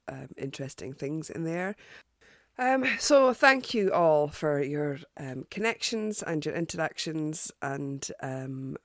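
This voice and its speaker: A woman hosting a podcast, chatty and hesitant with lots of ums and restarts, sounding croaky and congested from a cold. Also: low voice, no echo, clean studio sound. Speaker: podcast host. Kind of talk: casual podcast sign-off.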